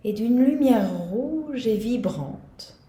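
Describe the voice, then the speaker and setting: Slow, mellow woman guiding meditation. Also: fairly high pitch, reverberant. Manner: calm, soothing, gentle delivery. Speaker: meditation guide. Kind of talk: guided yoga nidra meditation.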